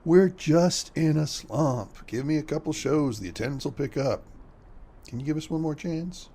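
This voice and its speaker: An actor playing a boastful, washed-up alcoholic stage magician in a radio mystery play, pompous and condescending. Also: male voice, fairly high pitch, moderate pace, mellow. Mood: pleading, defeated.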